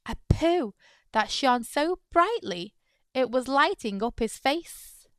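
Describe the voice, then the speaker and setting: A woman narrates a children's picture book with playful expression. Her voice is fairly high and moves widely in pitch, while her pace stays slow. The recording is very dry. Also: expressive, a bit bright, no room sound. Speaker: children's storytime host and narrator. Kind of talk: animated read-aloud narration of a picture book.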